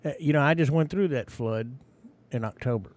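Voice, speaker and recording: A man's voice: mid-range voice, moderate pace, darkish tone. A man with an ordinary mid-range voice co-hosts a morning radio chat. He rambles in a homespun way, stopping and restarting his thoughts as he goes. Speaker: radio co-host.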